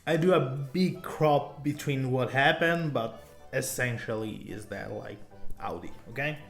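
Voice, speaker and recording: A man's voice: medium pitch, measured pace, soft background music. A man from Italy with an Italian accent, chatting enthusiastically to camera about cars in loose non-native English. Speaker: car vlogger. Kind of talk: casual talk to camera.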